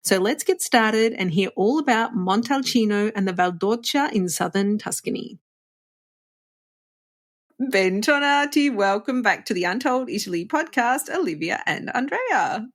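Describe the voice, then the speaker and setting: A woman's voice: a little high, animated, a little slow. A woman hosting a travel podcast, upbeat and conversational, chatting with her guests. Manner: upbeat, conversational, gives tips. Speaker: podcast host.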